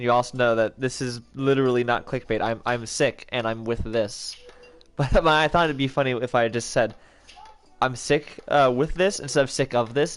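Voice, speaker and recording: Young man, stuffy head cold, rambling livestream chat, jokey restarts, faint music behind. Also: mid-range voice. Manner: rambling, self-interrupting, joking. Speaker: streamer.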